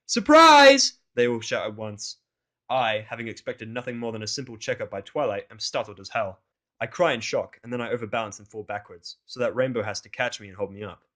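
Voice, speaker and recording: A man's voice: medium pitch, normal speed, fairly bright tone. A man narrating a scripted first-person fan fiction story in a casual, grumbling, sardonic way.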